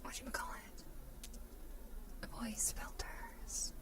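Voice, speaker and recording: Woman, breathy whisper, very slow pace, casual ASMR streamer, close microphone. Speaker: ASMR livestreamer chatting with viewers.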